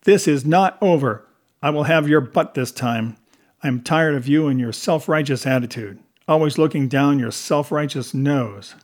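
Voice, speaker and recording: A man's voice: a little high, fairly even intonation, brisk, natural voice. A man voicing a hot-tempered, blustering police lieutenant who barks and sputters in an audiobook. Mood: flustered rage. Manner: barking, sputtering when flustered.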